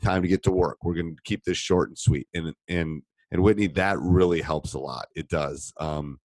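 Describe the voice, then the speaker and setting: A man in his forties with a deep voice and a cold, a basketball coach answering questions in a casual online talk. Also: moderate pace, darkish tone, dry recording. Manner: conversational, repeats himself, answers questions read aloud. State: has a cold. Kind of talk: webinar Q&A talk.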